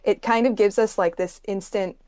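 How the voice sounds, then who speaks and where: A woman's voice: medium pitch, fairly even intonation, moderate pace, a little mellow, no room sound. A woman guesting as a music expert, explaining things in a casual, enthusiastic way.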